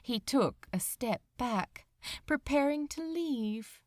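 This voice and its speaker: Female audiobook narrator, dramatic and unhurried, lively intonation, dry sound. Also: sits high, no echo. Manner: expressive, measured. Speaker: fan fiction narrator.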